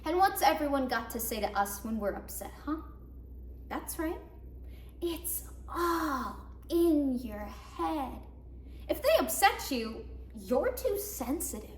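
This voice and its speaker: Young woman acting a bitter, high-voiced asylum patient. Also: big pitch swings, moderate pace. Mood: mocking, agitated. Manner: sardonic, theatrical, emphatic, swinging from hushed to loud. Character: bitter, wry woman patient confined in a mental hospital. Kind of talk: dramatic monologue, competition interpretation. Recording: roomy echo, faint noise, distant mic.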